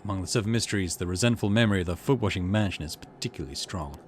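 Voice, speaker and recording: A man's voice: low voice, rapid-fire, slight background noise, natural voice. A man voicing a menacing, condescending curse-wielding villain from a visual novel, in a cold, taunting manner. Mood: coldly threatening. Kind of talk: reading visual novel dialogue in character.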